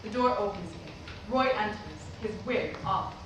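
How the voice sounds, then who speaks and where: A woman's voice: mid-range voice, moderate pace. A woman reading out stage directions as scripted narration at a staged play reading. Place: staged reading in a hall, recorded from afar. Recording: distant, very echoey hall, noisy.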